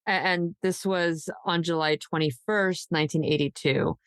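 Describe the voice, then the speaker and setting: A woman hosting a true crime podcast, discussing cases conversationally and pausing as she pieces the details together. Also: lowish voice, fairly even intonation, smooth, no room sound, studio quality.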